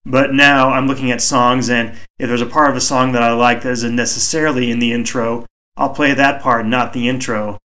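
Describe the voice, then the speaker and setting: Male solo podcast host, mid-pitched, soothing and measured, home mic. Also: flat delivery, pushed and bright. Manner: measured, reflective, deliberately slow. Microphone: home microphone.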